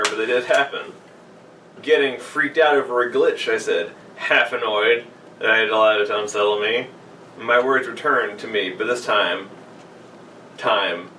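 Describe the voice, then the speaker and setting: A man's voice: fairly low pitch, bright, forceful tone, far from the mic. A man co-hosting a podcast, bantering casually with sarcastic asides and reading aloud. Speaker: podcast co-host. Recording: roomy echo, off-mic, faint noise.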